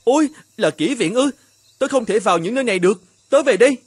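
A man voicing an earnest, troubled young male painter and devoted husband in an animated fairy tale. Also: very high-pitched, fast, husky, no echo, soft background music, full character voice. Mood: flustered.

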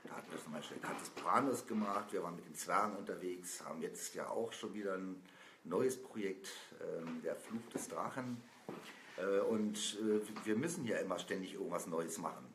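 Deep-voiced man rambling in a roomy room. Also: moderate pace. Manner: rambling, with many fillers. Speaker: band member. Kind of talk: casual chat on a live stream. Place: small indoor room, seated on a sofa. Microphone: camera a few feet away. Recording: roomy with faint noise.

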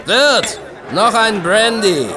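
An actor playing a boastful, dissolute young drinker at an inn in an audio drama, loud and cocky. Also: male voice, high-pitched, animated, slow pace, background noise. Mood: rowdy. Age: young adult. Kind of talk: German audio drama acting. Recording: busy pub crowd and music.

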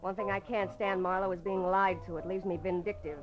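A woman's voice: medium pitch, little pitch movement, fairly quick, dull audio, natural voice. An American actress playing a tough, shrewd, guarded businesswoman in a late-1940s radio noir drama. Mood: hard, determined. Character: tough, shrewd woman silk merchant, a femme fatale client. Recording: vintage radio drama, faint music behind.

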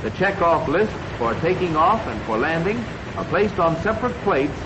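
An old, muffled and noisy film soundtrack carries an American man's fairly high voice. He narrates in a 1940s instructional style, enunciating each step in a measured way with lively inflection.

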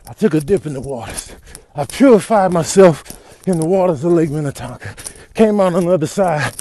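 A man's voice: on the high side, expressive, brisk, mellow, close to an everyday voice. A man voicing an exasperated veteran cop with a grumbling, folksy manner in a comedic voiceover. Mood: apologetic, weary. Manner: grumbling, world-weary, folksy. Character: weary veteran male cop on a foot chase, exasperated. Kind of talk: comedic improvised video voiceover.

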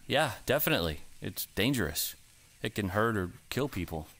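A man's voice: lowish voice, sing-song, normal speed, a bit bright, full character voice. An actor playing a flustered, defensive homeowner arguing with his smart speaker in a comedy radio play. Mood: explaining. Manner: defensive, awkward, hesitant. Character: flustered young man, Frank.

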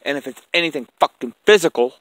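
A man's voice: a little high, animated, fast, fairly bright tone. A man talking casually and bluntly, with slang and swearing, as he films outdoors in the woods. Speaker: camper filming the outing. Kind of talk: casual camping vlog talk, swearing. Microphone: handheld camera he is holding.

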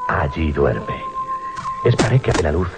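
An actor from a Spanish radio drama playing a scornful, scheming thief with a Spain accent. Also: male voice, rumbling low, very animated, normal speed, over loud music. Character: scornful, scheming male thief, sly and skeptical. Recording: muffled 1980s radio drama recording.

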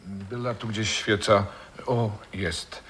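An actor playing a calm, kindly gentleman and family friend in a 1960s radio drama. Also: lowish voice, takes it slowly. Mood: soothing. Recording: noisy vintage radio recording with clicks.

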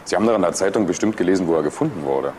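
An actor playing a weary, sceptical police investigator, speaking colloquially in a German crime radio drama. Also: male voice, sits low, expressive, rapid-fire, a little mellow, slight background noise.

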